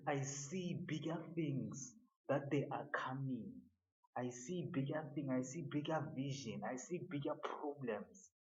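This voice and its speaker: A man giving an earnest motivational monologue, halting and often repeating his words. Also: medium pitch, dull audio.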